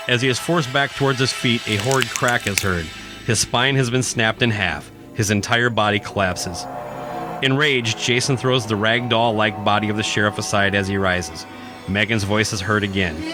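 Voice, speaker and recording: Low-voiced man narrating horror over loud music. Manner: suspenseful, theatrical. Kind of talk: dramatic scripted horror narration. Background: prominent music.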